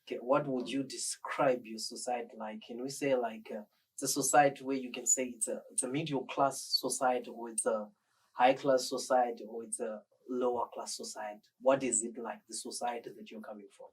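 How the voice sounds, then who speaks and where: A man's voice: medium pitch, steady tone, a little fast, darkish tone, husky, recorded from a distance, low-quality recording. A man hosting an interview, asking questions in an encouraging way and backing the guest up with frequent "mm-hmm"s. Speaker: interviewer host. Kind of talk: interview conversation.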